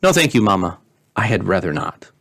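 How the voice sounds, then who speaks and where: A man's voice: sits low, sing-song, a little fast, vocal fry, a bit bright, dry recording, studio quality, heavily acted. A man voicing a playful, flippant teenage princess in a storybook, airy and teasing.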